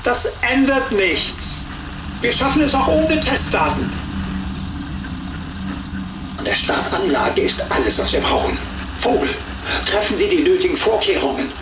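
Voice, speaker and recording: Echoey, distant Nazi officer. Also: male voice, high-pitched, sing-song, a little slow, long pauses. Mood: defiant. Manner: commanding, insistent. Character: defiant, commanding Nazi officer giving orders. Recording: distant, echoey, with clear engine noise.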